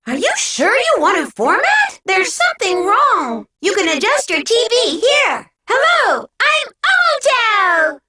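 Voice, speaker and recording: A woman voicing a small, cheerful, childlike robot guide in a video game, giving perky, clearly spoken instructions. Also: very high-pitched, huge pitch swings, slow, hardly any pauses, sharp tone, a little hiss or hum, heavily acted. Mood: perky, helpful, then eager, teaching. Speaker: tutorial guide and menu announcer. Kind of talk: scripted video game prompts.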